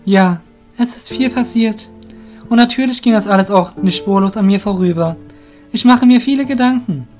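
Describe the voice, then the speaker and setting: An eager young apprentice of a shadow guild, acted by a man in a fantasy radio play. The voice is high, light and youthful, with an earnest, polite way of talking. Music plays underneath. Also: normal speed, a little mellow, lightly acted. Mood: downcast. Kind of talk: amateur fantasy audio drama acting.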